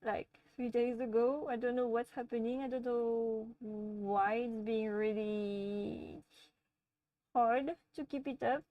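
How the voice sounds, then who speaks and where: Slow-talking French woman hosting a livestream. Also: a little high, fairly even intonation, dry recording, far from the mic, phone-quality sound. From France. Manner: gentle, chatty, laughs often, talks softly to her pets. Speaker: livestream host. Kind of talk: casual live chat answering viewers.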